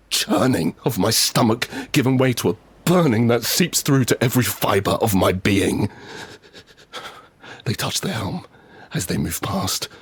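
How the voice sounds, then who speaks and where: An actor playing a desperate, vengeful father who narrates his post-apocalyptic survival in an intense, brooding, deliberate manner. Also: lowish voice, husky, exaggerated voice. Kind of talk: first-person scripted audio drama narration.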